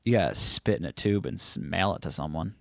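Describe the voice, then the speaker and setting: A man's voice: low voice, lively intonation, fairly quick, creaky, fairly bright tone, no room sound, clean studio sound. A man hosting a casual livestream, chatting loosely and teasing his viewers as he reads their comments aloud. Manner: rambling with frequent restarts. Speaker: livestream host.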